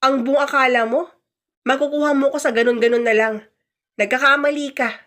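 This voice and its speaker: A woman's voice: high voice, a bit bright. A woman voicing a blunt, self-justifying husband who is fed up with his marriage, in a radio drama style. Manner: defiant, blunt, scornful.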